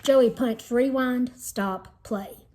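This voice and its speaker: Mellow woman reading aloud to children. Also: fairly high pitch, extremely slow, slight background noise. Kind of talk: storybook read-aloud with teaching asides.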